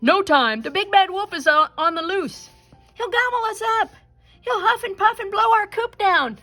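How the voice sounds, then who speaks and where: A woman's voice: extremely high pitch, animated, normal speed, bright, forceful tone, faint music behind, pitched above the performer's own voice, heavily acted. A woman voicing fretful, dramatic chickens in a storybook with urgent exclamations. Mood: alarmed.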